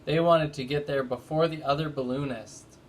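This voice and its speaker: A man's voice: fairly high pitch, fairly even intonation, normal speed, lightly acted. A man voicing a friendly, motherly woman innkeeper in a children's storybook. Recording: noticeable background noise.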